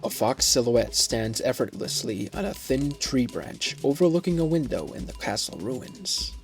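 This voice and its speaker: A man's voice: mid-range voice, lively intonation, normal speed, vocal fry, soft background music. A man reading scripted scene descriptions as the narrator of an audio drama.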